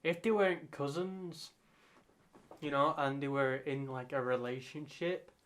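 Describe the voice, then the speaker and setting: A man reacting casually off the cuff, in a halting way, often repeating and rephrasing himself. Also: mid-range voice, steady tone, a bit bright. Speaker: reactor and channel host.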